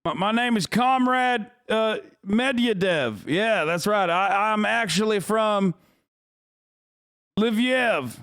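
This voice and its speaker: A man voicing a jokey male comrade in a halting, mock-formal put-on accent. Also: high voice, animated, a bit bright, smooth, exaggerated voice.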